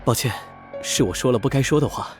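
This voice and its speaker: A man voicing a courteous, affable knight officer in an animated drama, polite and formal in his delivery. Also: medium pitch, quick pace, natural voice. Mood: apologetic, polite. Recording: loud music behind. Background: music.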